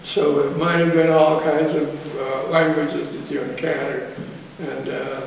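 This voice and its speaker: An older man born in Poland, with Polish as his first language, recalling his past slowly and hesitantly in an oral history interview. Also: a little high, little pitch movement, gravelly, slight background noise. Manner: slow, hesitant, self-correcting recollection. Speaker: war veteran interviewee. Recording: distant echoey hall recording.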